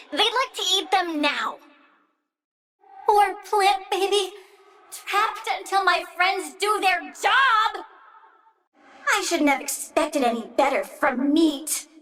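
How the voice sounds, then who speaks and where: A woman's voice: very high-pitched, expressive, slow, pushed and bright, distant mic, heavily acted. An actress voicing a young girl plant villain in a video game, bossy, doting and vengeful, with a childish, petulant, cutesy menace. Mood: petulant, alarmed.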